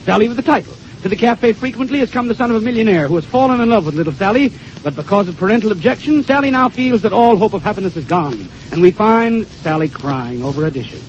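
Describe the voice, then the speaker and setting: A man's voice: sits high, expressive, fast talker. A man hosting a 1930s radio show, reading scripted introductions in a formal, storytelling manner. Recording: dull, noisy 1932 radio broadcast.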